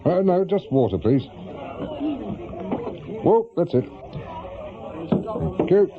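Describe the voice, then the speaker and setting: An actor playing a cautious, seasoned private investigator with an English accent, speaking hesitantly and matter-of-factly in a radio drama. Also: male voice, high voice, big pitch swings, slow, narrow, tinny audio, noisy background, heavily acted. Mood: genial, feigning. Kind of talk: naturalistic British radio drama dialogue.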